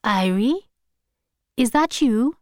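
A woman's voice: on the high side, animated, slow pace, a little mellow, no echo, studio quality, voice pushed up. A woman voicing a devout, upset grandmother of eighty-five with a solemn, preachy, emphatic delivery. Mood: solemn warning. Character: devout, upset 85-year-old grandmother, warning dramatically.

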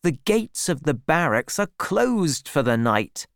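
A woman reading a storybook as a male army sergeant, firm, brisk and placating with his sweetheart. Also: low voice, sing-song, dry recording, clean studio sound, heavily acted. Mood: apologetic.

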